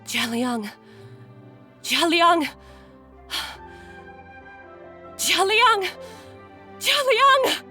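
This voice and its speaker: A woman playing a gentle, devoted protector of her family in a video game, heard through long reverb. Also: very high-pitched, sing-song, very slow, heavily acted. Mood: calling out. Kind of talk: English video game voice acting. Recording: long dreamlike reverb, music underneath.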